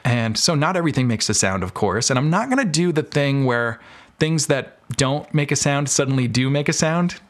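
A man's voice: medium pitch, lively intonation, normal speed. A man talking casually as an interview guest, explaining his creative work with examples. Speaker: podcast guest, audio drama maker and filmmaker.

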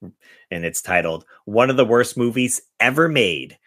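A man's voice: medium pitch, lively intonation, a little slow, a bit bright. A man hosting a podcast guessing game, chatting casually about movies in an informal, rambling way.

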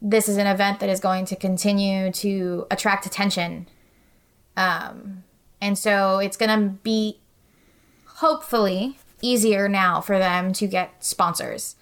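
A woman's voice: mid-range voice, fairly even intonation, a little slow, clear, resonant tone. A woman talking as a podcast guest in a casual, opinionated discussion, earnest and articulate.

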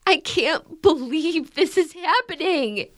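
A woman's voice: sits high, expressive, slow pace. A woman acting a dejected, self-critical young woman of twenty-one in a modern audio drama, dramatic and blunt. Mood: upset, despairing.

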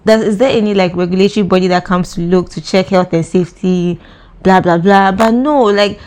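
A woman's voice: mid-range voice, fairly quick, a little mellow. A young woman with a Ghanaian accent in casual chat, making blunt, teasing remarks. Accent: Ghanaian English. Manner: wry, teasing quips, blunt opinions.

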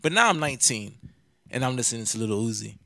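A man's voice: mid-range voice, expressive, fast talker, gravelly, bright, forceful tone, no echo, studio quality. A nineteen-year-old man co-hosting a podcast, arguing emphatically and swearing in casual chat. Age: late teens. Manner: argumentative, profane, emphatic. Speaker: podcast co-host.

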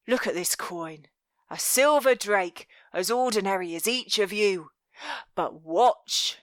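A woman voicing a cruel, menacing male master of thieves in an audiobook, commanding and deliberate, with mock-tender threat. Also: mid-range voice, lively intonation, dry recording. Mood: sly, showy. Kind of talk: dramatic audiobook character voice.

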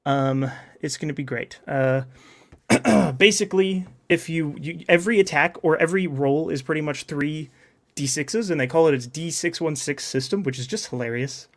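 A man's voice: on the high side, normal speed. Enthusiastic man chatting casually. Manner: enthusiastic, upbeat. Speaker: podcast host and game master. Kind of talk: casual chat.

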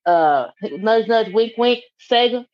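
A woman at an average pitch, heard over a dull, lo-fi mic with a dry sound. She sums up her thoughts cheerfully on a podcast and slips in playful asides. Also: animated, slow, dry recording. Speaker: podcast co-host. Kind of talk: casual podcast chat.

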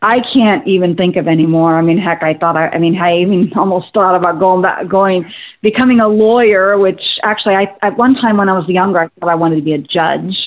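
A woman in her forties calling in to a show, talking haltingly with many fillers and repeating herself. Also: lowish voice. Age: 40s. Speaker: caller. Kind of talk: call-in show chat. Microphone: phone. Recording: tinny phone line.

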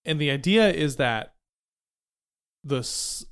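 A man's voice: a little high, expressive, slow pace, fairly bright tone, smooth. A man co-hosting a casual podcast, musing loosely through half-remembered theories and tossing in jokes. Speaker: podcast co-host. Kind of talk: casual chat.

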